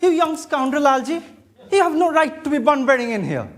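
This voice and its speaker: Male actor as exasperated Victorian gentleman, stern, formal, indignant, amateur stage comedy. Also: extremely high pitch, expressive, fairly quick.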